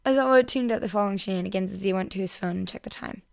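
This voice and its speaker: A young woman narrates a fan fiction story while under the weather. Her voice is noticeably creaky. The recording is dry and close, with very little room around her. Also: mid-range voice, rapid-fire, no room sound. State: sick. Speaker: podfic narrator.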